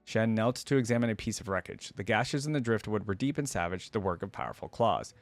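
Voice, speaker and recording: A man's voice: lowish voice, lively intonation, a little fast, no echo, soft background music. A man hosting a reaction video, moving between casual commentary and reading story text aloud.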